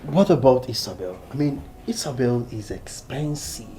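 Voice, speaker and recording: A man's voice: mid-range voice, lively intonation, normal speed, dark tone, a little hiss or hum, close to an everyday voice. A man with a Nigerian accent playing a prince's candid young friend in a naturalistic film drama. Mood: excitable. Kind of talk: naturalistic Nollywood film acting.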